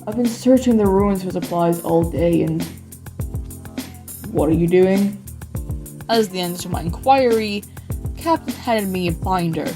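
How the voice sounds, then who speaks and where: A man's voice: sits high, dark tone. Man playing a weary, wry apocalypse survivor. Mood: exasperated. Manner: wry, sighing, deliberate. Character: weary sarcastic male survivor narrating the apocalypse. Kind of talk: dramatic scripted narration. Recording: music behind the voice. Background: music.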